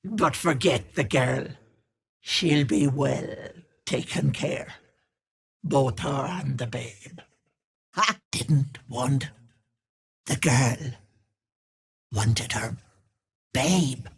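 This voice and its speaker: An actress playing a gleefully malicious old hag in a video game, raspy and theatrical, with mock-sweet endearments and taunting menace. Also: female voice, a bass voice, very slow, pauses often, heavily acted. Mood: sinister, gloating. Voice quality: husky, raspy cackling old voice.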